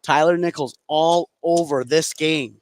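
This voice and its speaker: A man's voice: a little high, fairly even intonation, a little slow. A man doing live basketball color commentary, adding conversational, analytical remarks. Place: indoor high school basketball gym.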